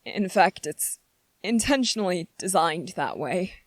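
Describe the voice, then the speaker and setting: A woman voicing a gentle, anxious young prince in a fantasy ASMR roleplay, speaking politely and formally with hesitant, halting phrasing. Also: fairly low pitch, animated, no echo, clean studio sound. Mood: flustered, concerned. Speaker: voice actor in audio roleplay. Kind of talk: ASMR character roleplay, scripted fantasy monologue.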